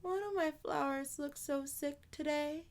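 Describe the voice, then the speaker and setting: A woman's voice: sits high, fairly even intonation, measured pace, pitched above the performer's own voice. A woman voicing a curious little girl with a plaintive, wondering manner in a children's storybook reading. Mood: worried, sad. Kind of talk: children's storybook read-aloud.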